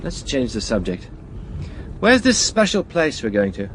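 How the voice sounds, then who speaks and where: Lively, determined British gentleman sleuth. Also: mid-range voice, measured pace, slight background noise, low-quality recording. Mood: calm, assured. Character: bold, determined gentleman amateur detective. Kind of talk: radio drama acting.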